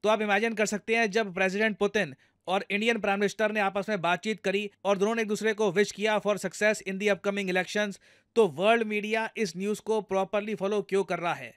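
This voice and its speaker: High-pitched, clear Indian man explaining news. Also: a bit bright, dry recording, clean studio sound. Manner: conversational, explains step by step, addresses viewers directly. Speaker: news commentator, video host.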